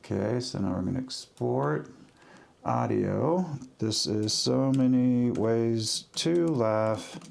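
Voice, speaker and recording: Slow, very gravelly man talking through his work. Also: mid-range voice, a little mellow. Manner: talks through each step in short, halting phrases. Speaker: livestreaming audio producer. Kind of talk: casual work-along narration.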